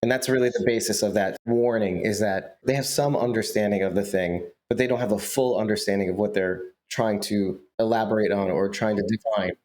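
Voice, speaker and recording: A man's voice: fairly low pitch, steady tone, fairly quick, few pauses, darkish tone. Teasing, laughing male podcast co-host. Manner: joking, teasing, laughs often. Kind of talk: casual podcast chat.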